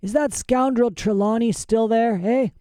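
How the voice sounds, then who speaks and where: A man's voice: extremely high pitch, measured pace, mellow, no room sound, clean studio sound, pitched above the performer's own voice. A man voicing a mad old professor in a storybook reading, cranky, boastful and pompous, querulously tossing in "eh". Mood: indignant. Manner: agitated, querulous, pompous, punctuating talk with "eh".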